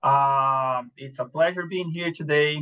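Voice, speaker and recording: A man from Brazil with a Brazilian Portuguese accent, presenting a lecture over a video call in a relaxed way, with frequent fillers and restarts. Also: a little high, unhurried, clear, resonant tone, highs cut off. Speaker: presenter, assistant professor. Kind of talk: webinar lecture.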